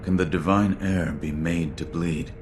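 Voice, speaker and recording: A man playing a terse, loyal shinobi in a video game, solemn and restrained, with a gravelly voice. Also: low voice, fairly even intonation, measured pace, soft background music. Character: stoic loyal adult male shinobi serving a young lord. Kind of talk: video game cutscene acting.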